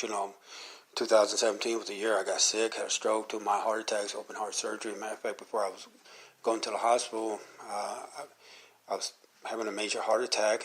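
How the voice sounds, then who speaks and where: Gravelly older man, low and husky. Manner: rambling, conversational, earnest. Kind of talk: informal devotional talk.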